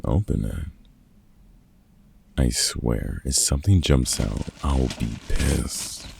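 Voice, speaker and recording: A man playing a gruff, protective, middle-aged vampire hunter mentor in a comfort ASMR audio roleplay, gravelly and husky, with a casual, teasing, sweary and halting way of talking. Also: very deep voice, dark tone, no room sound, full character voice. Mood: curious, wary.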